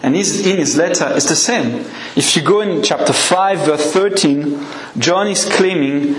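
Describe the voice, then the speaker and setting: A man's voice: a little high, a little slow, a little hiss or hum. French-accented man preaching in an echoey church. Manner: conversational, asks the congregation questions. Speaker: guest preacher. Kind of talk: evening sermon with a live congregation.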